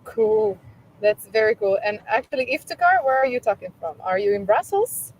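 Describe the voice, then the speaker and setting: A woman's voice: on the high side, very animated, moderate pace, a little hiss or hum, rough recording. A woman hosting a company live stream over a video call while traveling, chatting casually and laughing lightly between questions. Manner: chatty, friendly, with small laughs and fillers.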